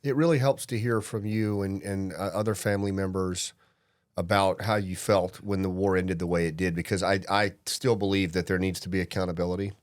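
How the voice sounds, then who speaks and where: A man with a deep, low voice hosts an interview, speaking in a firm, measured way with frequent restarts. The sound is dry and close. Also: fairly even intonation, dry recording. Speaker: podcast host. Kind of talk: interview conversation.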